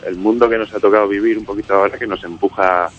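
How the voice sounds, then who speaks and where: A man's voice: fairly low pitch, steady tone, quick pace, muffled recording, faint music behind. A man, a musician and songwriter, talking in a casual radio interview, rambling with many fillers and restarts. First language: Spanish. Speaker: musician guest, singer and songwriter of a band.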